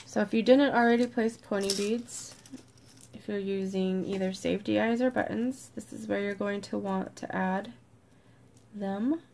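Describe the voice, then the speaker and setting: A woman with a croaky, congested voice leads a step-by-step craft tutorial in a relaxed, chatty way, sometimes dropping to a near-whisper as she counts stitches. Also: mid-range voice, normal speed, slight background noise. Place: indoors at a worktable, overhead camera on hands.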